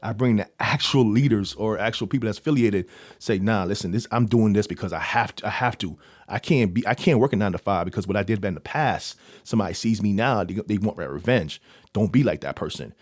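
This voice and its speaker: Fast-talking Jamaican-born coach, dry mic. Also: male voice, lowish voice, no echo. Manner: energetic, repeats words, quick restarts. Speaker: youth mentor and coach. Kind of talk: casual interview talk.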